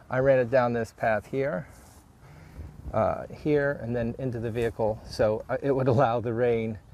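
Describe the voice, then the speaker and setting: A man's voice: medium pitch, creaky, a little mellow, a little hiss or hum. A man giving a casual, plainspoken hands-on how-to narration as he works on a project. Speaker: DIY video creator.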